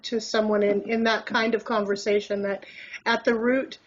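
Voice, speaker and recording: A woman's voice: mid-range voice, little pitch movement, moderate pace, lo-fi sound. A woman hosting a conversational interview, phrasing her questions carefully and reflectively. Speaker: interview host.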